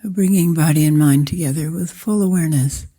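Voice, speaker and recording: A woman meditation teacher guiding a practice in slow, deliberate short phrases with long pauses. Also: sits low, mellow. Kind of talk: guided meditation, slow spoken instruction.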